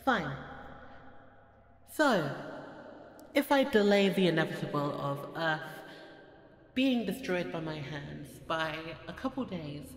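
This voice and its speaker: A woman's voice: low voice, big pitch swings, slow pace, long pauses, full character voice. Woman playing a haughty goddess in an echoing hall. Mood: grudgingly amused. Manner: imperious, mocking, sighing, theatrical. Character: haughty, bored, playful goddess of destruction. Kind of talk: ASMR audio roleplay acting. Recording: echoey hall reverb, faint background noise.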